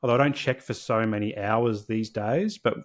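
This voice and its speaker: A man's voice: on the low side, moderate pace. Candid man telling his story. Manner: candid, reflective, with frequent restarts. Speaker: podcast guest. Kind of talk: personal storytelling interview.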